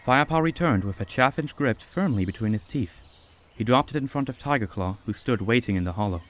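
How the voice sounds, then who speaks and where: A man giving steady, scripted storybook narration for a fan-made audiobook. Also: medium pitch, fairly quick.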